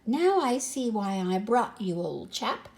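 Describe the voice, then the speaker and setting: A sensible, chummy storybook mouse chap, read by a woman. The delivery is brisk and matter-of-fact, in a mellow, slightly lifted tone. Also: mid-range voice, big pitch swings, gravelly, full character voice. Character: practical, brisk, chummy male church mouse organiser.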